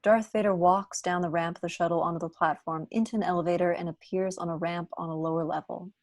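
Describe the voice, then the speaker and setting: A woman's voice: lowish voice, fairly even intonation, quick pace, a little mellow, dry recording, clean studio sound. A woman reading scripted stage directions as the narrator of a table read on a video call. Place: indoor room on a video call.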